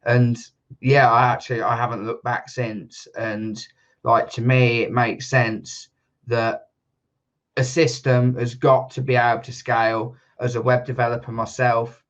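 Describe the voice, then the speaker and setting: A man talking casually as a guest, rambling and correcting himself, laughing often and getting louder and higher when worked up. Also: little pitch movement, measured pace, muffled recording. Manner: gets loud and high when fired up. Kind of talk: casual podcast chat.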